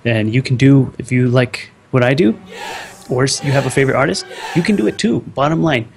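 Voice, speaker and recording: A man's voice: mid-range voice, fairly quick, gravelly, slight background noise. A man guest on a casual podcast, an artist speaking earnestly and encouragingly, with many "you know" fillers.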